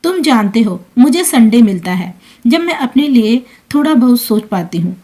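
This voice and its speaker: A woman's voice: on the high side, sing-song, moderate pace, dark tone. A woman voicing a self-centred working daughter-in-law in a family story, breezy and entitled in manner. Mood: annoyed.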